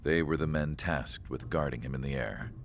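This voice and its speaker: A man playing a solemn scientist who narrates a video game story in a measured, reflective way. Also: extremely low pitch, creaky, slight background noise. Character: solemn older male research scientist recalling an ace pilot. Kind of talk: scripted dramatic cutscene narration.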